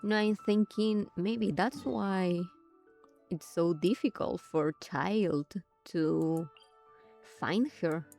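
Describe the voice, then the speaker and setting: A woman doing casual game commentary, thinking aloud haltingly and breaking into exclamations. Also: fairly low pitch, vocal fry, a little mellow, soft background music. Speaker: streamer playing a game.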